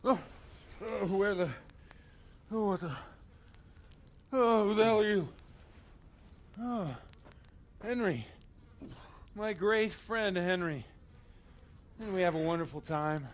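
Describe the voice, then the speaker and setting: Drunk debauched priest from a video game, groany and theatrical, slow, kinda high voice. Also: male voice, a little hiss or hum. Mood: groggy, groaning. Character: debauched, drunken village priest, jovial then wretchedly hungover. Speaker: game character actor. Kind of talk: dramatic video game cutscene acting.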